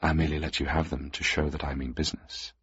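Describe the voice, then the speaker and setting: A man's voice: rumbling low, fast talker, husky, dry recording, dull audio, heavily acted. A man voicing a cool, commanding woman collector. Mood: cool, businesslike. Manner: calm and curt.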